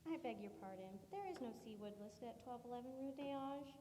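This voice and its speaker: An actress playing a polite, matter-of-fact telephone operator in an old-style radio drama. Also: female voice, a little high, lively intonation, fast, mellow, long reverb tail, natural voice. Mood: polite, flat. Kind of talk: 1940s radio drama acting. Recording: hollow room, noticeable background noise.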